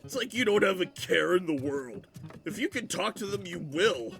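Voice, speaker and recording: A whiny, nosy village fellow in a fantasy audio play. The voice sits high and swoops around with a creaky edge, dragging words out as he wheedles and gets defensive. Music plays softly behind him. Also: expressive. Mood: grudging, curious. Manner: hesitant, drawn-out, wheedling and defensive. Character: nosy, dim, whiny village man, Manny, large Hylian. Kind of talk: fantasy audio drama acting.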